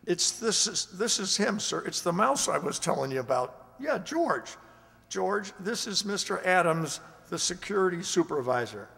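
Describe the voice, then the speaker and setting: A man's voice: high-pitched, big pitch swings, moderate pace, creaky, a bit bright, breathy edge, exaggerated voice. A man playing a meek, hesitant security guard in a radio drama, stammering and folksy as he speaks. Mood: nervous, deferential. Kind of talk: radio drama acting and narration. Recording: reverberant hall-like amateur recording.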